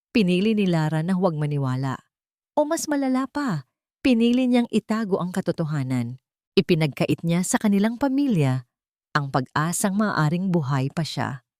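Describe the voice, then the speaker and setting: A woman's voice: on the low side, darkish tone, no room sound, clean studio sound. A woman narrating a dramatic story in an emphatic, suspenseful way, often in short broken phrases. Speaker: audiobook narrator.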